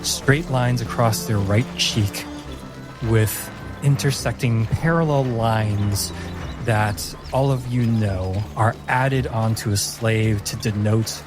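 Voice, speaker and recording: Slow, deliberate man narrating fantasy. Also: fairly low pitch. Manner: deliberate, suspenseful phrasing. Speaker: game master. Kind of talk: dramatic narration of a fantasy tabletop game.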